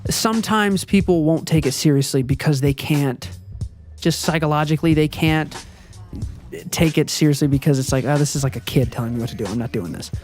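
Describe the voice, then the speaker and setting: A man with a high, youthful-sounding voice talks through channel updates in a rambling, hesitant way, full of ums and self-corrections. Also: fairly quick, a little mellow, faint music behind. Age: adult. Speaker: channel owner and video editor. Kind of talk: casual channel update monologue. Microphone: voiceover recorded before the gameplay footage.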